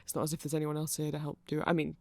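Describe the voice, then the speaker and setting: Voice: mid-range voice, monotone, rapid-fire, no room sound, lightly acted. A performer playing a nerdy, polite vampire shopkeeper in an ASMR roleplay, hesitant and stammering, rambling apologetically in casual chat. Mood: shy, rambling.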